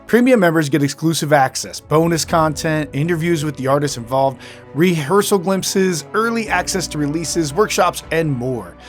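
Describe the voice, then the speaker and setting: A man delivers scripted promotional narration as an announcer. His voice is fairly high for a man, with soft music underneath. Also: expressive, normal speed.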